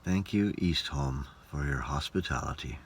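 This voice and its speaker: A man's voice: extremely low pitch, normal speed, noisy background. A man giving a chatty, rambling video diary as a travelling entertainer. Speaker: travelling musical entertainer and vlogger. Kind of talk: casual video diary chat.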